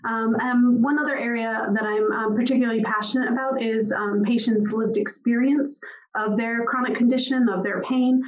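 A woman from near Boston with a Boston American accent, giving a measured panel introduction with frequent filler sounds. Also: fairly high pitch, steady tone, reverberant, highs cut off. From near Boston, United States. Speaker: epidemiology lecturer.

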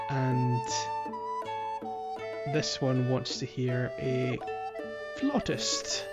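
A man co-hosting a podcast, chatting casually and explaining a video game playthrough in a halting way. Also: mid-range voice. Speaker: podcast co-host. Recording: dull lo-fi audio, music underneath. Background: background music.

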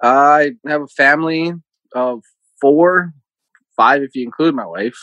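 A man's voice: a little high, a little slow, a bit bright, dry recording, lo-fi sound. A man in his thirties from Minnesota, giving casual, conversational answers as an interview guest. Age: 30s. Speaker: interview guest, casino team supervisor.

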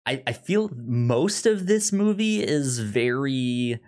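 A man co-hosts a casual film podcast. His delivery is slow, with a mid-range pitch. He talks haltingly, stammering and repeating words as he works through a thought. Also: lively intonation, a little mellow. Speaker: podcast co-host.

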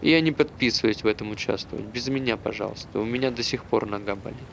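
A man's voice: on the low side, fast talker, gravelly, slight background noise. An actor playing a bitter, aggrieved man who hates dogs, grumbling conversationally in a naturalistic stage play. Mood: grumbling, irritated.

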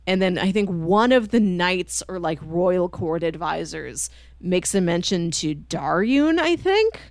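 A woman's voice: fairly low pitch, lively intonation, measured pace, no room sound, clean studio sound. A woman co-hosting a casual discussion, retelling a story in a chatty way and acting out the characters' lines. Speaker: co-host of a manga discussion show. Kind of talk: casual book discussion with spoilers.

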